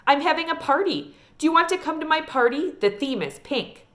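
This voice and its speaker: A woman's voice: high-pitched, lively intonation, fairly quick, a bit bright, pitched above the performer's own voice. A woman voicing an earnest, chatty five-year-old girl with childlike, folksy speech in a storybook reading. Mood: earnest, curious.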